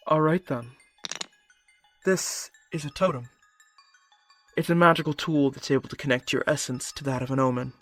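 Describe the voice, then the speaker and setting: A man playing a calm, persuasive magical creature specialist in an audio roleplay, speaking directly to the listener in a measured, coaxing and instructive way, with music behind him. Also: medium pitch, natural voice. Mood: explaining, assured. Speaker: voice actor.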